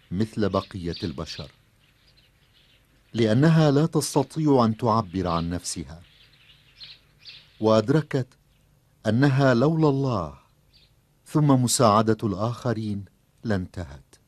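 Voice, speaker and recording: An actor playing a sympathetic storyteller, narrating a children's cartoon in measured, formal, compassionate speech. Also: male voice, lowish voice. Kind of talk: scripted cartoon narration and dubbing.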